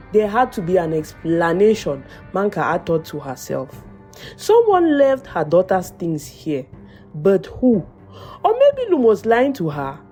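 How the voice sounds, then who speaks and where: Expressive woman storyteller, swooping pitch. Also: breathy edge. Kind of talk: scripted folktale narration.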